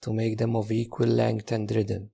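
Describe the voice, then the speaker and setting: A man leading a guided meditation in a gentle, unhurried manner, leaving long pauses between instructions. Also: lowish voice, completely flat delivery, creaky, slightly rough.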